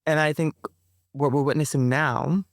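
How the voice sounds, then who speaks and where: A woman guest and performer in a reflective interview, speaking slowly and pausing to find her words, with slangy asides. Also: low voice, steady tone, dry recording, studio quality.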